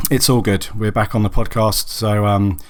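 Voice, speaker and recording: Hoarse man hosting a chatty podcast. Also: fairly low pitch, measured pace. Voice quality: raspy, husky. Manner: rambling, with many ums and restarts. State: hoarse from a cold. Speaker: podcast host. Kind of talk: casual solo podcast chat.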